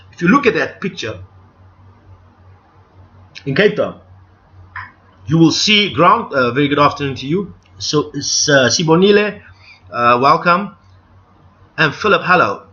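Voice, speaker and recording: A man's voice: fairly high pitch, pushed and bright. A middle-aged South African man with a South African accent, hosting a live stream with rambling, opinionated commentary full of restarts and asides. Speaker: livestream host. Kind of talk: unscripted live commentary to viewers. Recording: dull lo-fi stream with faint music.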